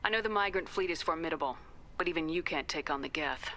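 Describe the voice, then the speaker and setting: A firm female starship captain from a video game. Her voice is very low and forceful, and she talks quickly and directly. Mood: firm, probing. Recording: clear background noise.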